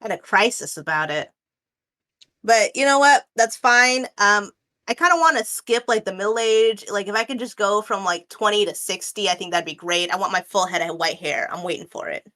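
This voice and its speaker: A woman's voice: mid-range voice, normal speed, bright, forceful tone. Chatty woman in her late twenties. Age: 20s. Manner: chatty, rambling, many fillers and restarts. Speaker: guest artist. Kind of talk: casual chat.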